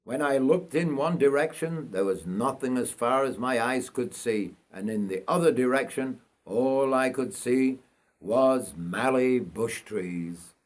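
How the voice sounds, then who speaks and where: An older man from North Yorkshire narrates his own autobiographical story. His pitch sits in the middle range. He tells it in a measured, folksy way, as a writer reading his work aloud. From North Yorkshire, England.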